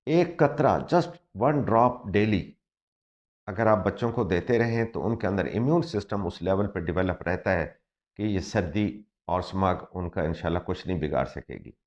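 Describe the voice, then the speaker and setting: A man's voice: low-pitched, lively intonation, moderate pace, a little mellow. A male doctor with a slightly sore throat gives earnest, explanatory health advice, dropping into English for emphasis. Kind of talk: health advice talk to camera. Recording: muffled lo-fi recording.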